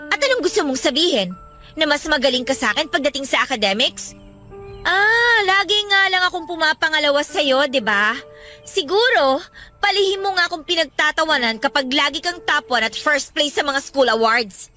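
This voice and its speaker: Radio drama lead: sarcastic, confrontational young student, extremely high and swooping, music bed. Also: female voice, lively intonation, normal speed, sharp tone. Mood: resentful, taunting. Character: defensive, resentful student, sarcastic best friend.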